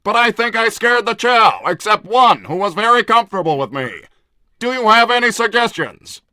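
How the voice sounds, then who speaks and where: A man's voice: sits high, lively intonation, normal speed, pushed and bright, no echo. A man co-hosting a livestream, casually reading viewer messages aloud.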